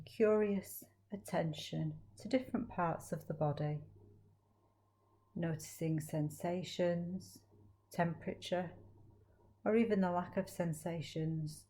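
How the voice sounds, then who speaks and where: A woman leading a guided meditation as a teacher, speaking slowly and gently with long, soothing pauses. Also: lowish voice, fairly even intonation.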